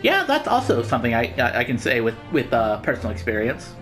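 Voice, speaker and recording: A woman's voice: very deep voice, wildly swooping pitch, quick pace, music in the background. A woman hosting a gaming video, giving casual let's play commentary in a rambling, slangy way.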